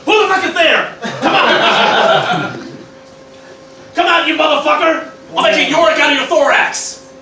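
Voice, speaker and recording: Arrogant old mad-scientist cartoon villain, acted by a man, sweary and declamatory, high voice, recorded roomy and distant. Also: lively intonation, slow, sharp tone, heavily acted. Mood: furious. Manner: ranting, swearing, declamatory, sometimes stumbling over lines. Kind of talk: amateur comedic Shakespeare parody performance. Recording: roomy, distant mic, noisy room.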